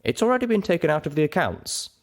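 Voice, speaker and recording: A man voicing a gentle male pony legal clerk, formal and matter-of-fact, in a story reading. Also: fairly high pitch, big pitch swings, fast, voice pushed up.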